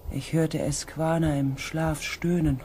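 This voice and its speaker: A man playing a shaken, earnest expedition pilot in a science fiction radio play, with weighty, hushed dramatic delivery. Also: on the high side, little pitch movement, slow pace, slight background noise. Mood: hushed, tense.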